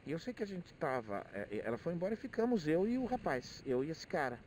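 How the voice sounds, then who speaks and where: A man's voice: a little high, big pitch swings, quick pace, darkish tone, slight background noise. A middle-aged man with a Brazilian accent, thinking aloud in a casual, hesitant monologue where he often repeats words. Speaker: motorcyclist vlogger. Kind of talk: casual rambling monologue, personal reflections.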